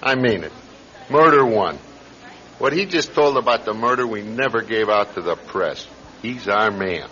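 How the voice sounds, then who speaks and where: A sardonic veteran police sergeant in a radio crime drama, low and forceful. He is brusque and skeptical, with punchy, varied intonation, heard on a dull old recording. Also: lively intonation, moderate pace. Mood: decisive. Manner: brusque, sarcastic, interrogating.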